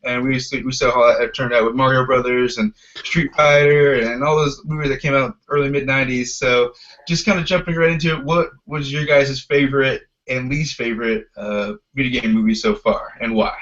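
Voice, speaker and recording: A man's voice: medium pitch, steady tone, normal speed, a bit bright, a little hiss or hum. A man hosting a casual podcast discussion, chatting through dull, lo-fi audio. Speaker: podcast host.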